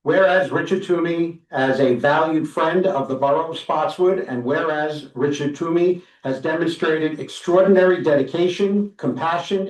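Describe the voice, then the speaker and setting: A man with a fairly high voice reads a formal proclamation at a council meeting in a steady, measured way. He sounds far from the microphone, and the room echoes around him. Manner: steady formal reading with small restarts. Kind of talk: reading a proclamation aloud. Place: council chamber.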